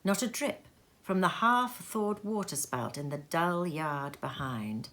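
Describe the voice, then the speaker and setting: Slow, expressive woman storyteller. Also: fairly low pitch. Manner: dramatic, deliberate phrasing. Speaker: storyteller reading a classic novel aloud. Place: sitting room at home.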